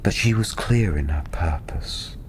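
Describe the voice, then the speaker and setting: A male storyteller speaks in a low, hushed voice that often slips into a breathy whisper. He narrates fantasy at a very slow, soothing pace. A steady ambient soundscape sits clearly behind his soft ASMR delivery. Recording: steady ambient background noise.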